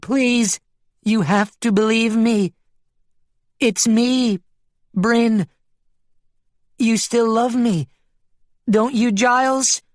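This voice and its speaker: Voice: mid-range voice, steady tone, unhurried, long pauses, a little mellow, no room sound, clean studio sound, pitched above the performer's own voice, lightly acted. A narrator voicing an earnest, pleading female sheep with a woman's mind in an audiobook story.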